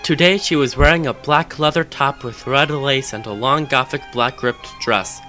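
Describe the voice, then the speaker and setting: A man's voice: medium pitch, normal speed, bright, forceful tone. A man reading fan fiction aloud as a dramatic narration, with music behind him.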